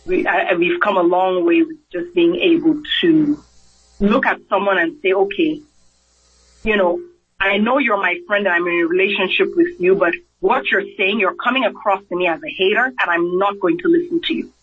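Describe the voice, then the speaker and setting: A man's voice: sits high, moderate pace. A man with a Nigerian accent, a physician guest on a radio interview, speaking earnestly. He often repeats words as he starts a thought and acts out the voices he quotes. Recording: dull lo-fi radio broadcast.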